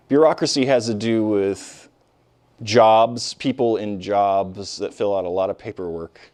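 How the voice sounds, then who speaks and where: Middle-aged guy lecturing a class, lowish voice, chatty, lots of uh and you know. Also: darkish tone. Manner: conversational, emphatic, frequent fillers and restarts. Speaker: history lecturer.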